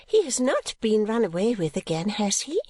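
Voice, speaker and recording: A woman's voice: medium pitch, very animated, normal speed, dark tone, slightly rough, dry recording, voice pushed up. A woman voicing a sly, teasing little spinster friend of the family in an audiobook, arch and chatty. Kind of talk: audiobook character acting.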